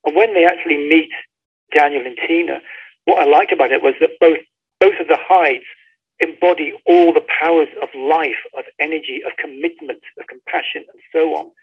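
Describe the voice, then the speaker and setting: A man's voice: a little high, normal speed, breathy edge. A man speaking as an author being interviewed, talking in an articulate, storytelling way over a dull, lo-fi line. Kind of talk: conversational book interview.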